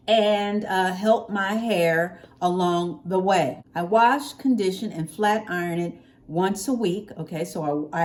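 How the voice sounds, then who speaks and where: A middle-aged American woman chatting casually to her viewers in a folksy, expressive way, repeating phrases for emphasis, recorded in a living room at home. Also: lowish voice. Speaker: hair care vlogger.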